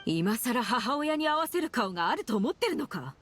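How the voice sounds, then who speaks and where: A woman's voice: a little high, big pitch swings, normal speed, fairly bright tone, slight background noise. A woman playing a stern, dutiful prison guard in an anime-style game, speaking formally and firmly. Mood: accusing. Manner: formal, firm.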